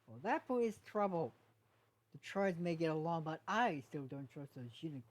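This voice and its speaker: A woman's voice: low voice, lively intonation, moderate pace, darkish tone. A woman voicing a suspicious young male pony troublemaker from a cartoon fan story, indignant and complaining.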